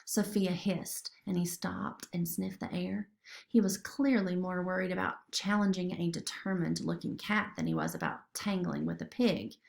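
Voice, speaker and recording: A woman's voice: lowish voice, creaky, a little mellow. A woman narrating a children's story expressively, as a storybook reader.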